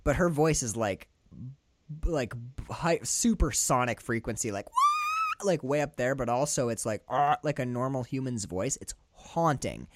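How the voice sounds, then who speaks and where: A man co-hosting a casual film podcast. He talks excitably, swears freely, often laughs mid-sentence, and his voice jumps up high when he gets worked up. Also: moderate pace, background noise. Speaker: podcast co-host. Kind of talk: casual film-discussion chat.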